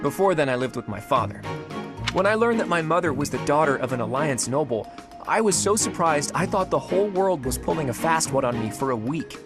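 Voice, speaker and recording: A man's voice: fairly high pitch, animated, a little fast, over music, lightly acted. A man playing a sly, easygoing young nobleman student in a video game, with a casual, teasing and secretive manner. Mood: breezy, teasing.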